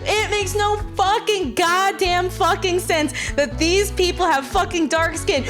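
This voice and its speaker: A woman co-hosting a casual comedic chat, joking and ranting in an animated, sweary way. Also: extremely high pitch. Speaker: co-host.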